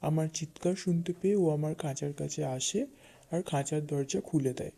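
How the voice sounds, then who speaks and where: Cartoon tiger , actor playing: ungrateful bully, insistent, deep-shaded mellow timbre, children's fable delivery. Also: normal speed, vocal fry. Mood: explaining. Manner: blunt, insistent. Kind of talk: children's animated fable dialogue.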